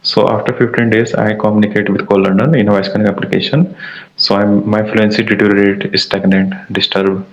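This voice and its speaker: A young Indian man whose first language is Hindi, speaking English in a halting way, repeating words as he searches for phrasing. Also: lowish voice, flat delivery. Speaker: English learner in a practice conversation. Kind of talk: casual practice conversation. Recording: dull lo-fi audio.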